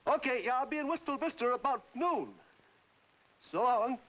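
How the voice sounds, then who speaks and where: An actor playing an agreeable, eager young celebrity flier on the telephone in a 1940s film comedy. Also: male voice, very high-pitched, moderate pace. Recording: muffled old 1940s film soundtrack.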